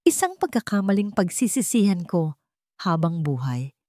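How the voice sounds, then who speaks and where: A woman voicing a remorseful male ex-lover making a solemn, halting confession in a dramatic story. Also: on the low side, sing-song, dark tone, no room sound, studio quality. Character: remorseful male ex-lover pleading in a televised interview.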